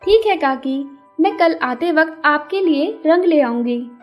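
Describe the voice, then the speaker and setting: A woman voicing a kind, hardworking young female bird in a children's cartoon, speaking in a gentle, earnest, helpful way. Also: sits high, animated, measured pace, dull audio, soft background music. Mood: cheerful, dutiful. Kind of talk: children's cartoon character acting.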